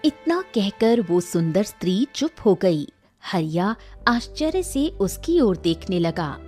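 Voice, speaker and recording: A woman's voice: medium pitch, big pitch swings, slow, mellow, faint music behind. A woman giving scripted narration of a moral folk tale as the storyteller.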